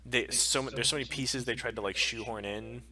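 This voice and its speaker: A man's voice: medium pitch, steady tone, brisk, a crowd in the background, poor audio. A man co-hosting a casual chat stream, giving opinions in an emphatic, rambling way. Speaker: co-host of a weekly pop culture stream.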